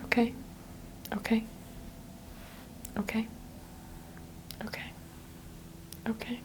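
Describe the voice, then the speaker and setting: A woman playing the patient in a soft-spoken ASMR roleplay, giving quiet, terse answers. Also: on the high side, little pitch movement, slow pace.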